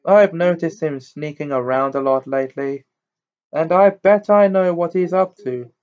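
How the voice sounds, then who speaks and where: A man's voice: on the high side, normal speed, pitched above the performer's own voice, lightly acted. A man doing a drawling, sneering teen snob. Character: sneering snobbish teen boy bully. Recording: dull lo-fi recording.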